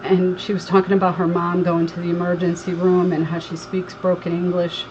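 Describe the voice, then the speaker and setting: A woman from Connecticut with a slight Southern twang chats through a crafting livestream and reads viewer comments aloud between tasks. Her voice sits fairly low. The audio is dull and lo-fi, with clear background noise. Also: completely flat delivery, brisk. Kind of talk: casual live crafting chat.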